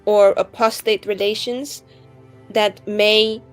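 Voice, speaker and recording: A woman roleplaying conversationally in a tabletop game, speaking in short, halting, questioning phrases. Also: mid-range voice, flat delivery. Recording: background music.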